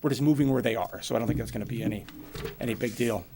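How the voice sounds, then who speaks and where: A man in his forties with a New England accent, giving a brisk, plainspoken financial presentation to a town board and explaining with everyday examples. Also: mid-range voice, gravelly, warm tone, a little hiss or hum. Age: 40s. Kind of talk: financial presentation at a public meeting.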